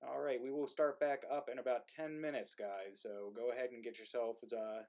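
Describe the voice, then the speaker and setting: A man's voice: mid-range voice, steady tone, moderate pace, a little mellow. A man with a mumbly voice, casually addressing an online audience as the host of a play reading. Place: indoor room, live online stream.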